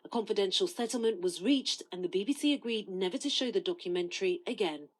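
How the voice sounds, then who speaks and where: A woman correspondent narrates a scripted news report. Her pitch is mid-range and her tone has a husky grain. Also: fast talker.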